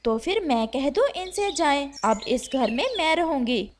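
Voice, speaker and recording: Woman as a haughty, high-voiced villainess bird. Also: animated, measured pace, slight background noise. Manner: haughty, dismissive. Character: scheming, callous young female bird, home-stealing new wife. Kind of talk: Hindi cartoon voice acting.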